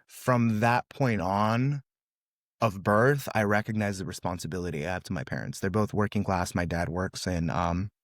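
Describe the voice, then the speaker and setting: Young guy, russian is his first language, low voice, dry close mic, earnest, lots of "um" and "brother". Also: moderate pace, no echo. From USA, California. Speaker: guest. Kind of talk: casual storytelling interview.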